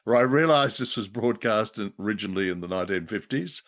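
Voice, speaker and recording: A man's voice: lowish voice, expressive, quick pace, a bit bright. A man co-hosting a casual review chat, speaking in a wry, wordy way. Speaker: podcast co-host.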